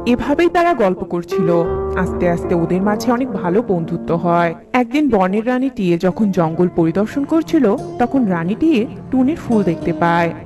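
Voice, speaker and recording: Female children's story narrator: animated melody, mid-pitched, music underneath. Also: moderate pace. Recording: music behind the voice.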